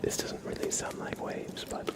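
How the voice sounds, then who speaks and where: A man hosting an ASMR livestream, chatting casually to viewers in a soft, mostly whispered, gentle and unhurried murmur.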